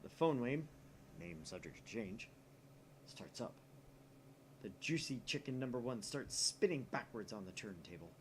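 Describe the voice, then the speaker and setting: A man's voice: mid-range voice, animated, normal speed, pauses often. A man reading visual novel narration aloud expressively as he plays, with some background noise. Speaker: video game player reading aloud.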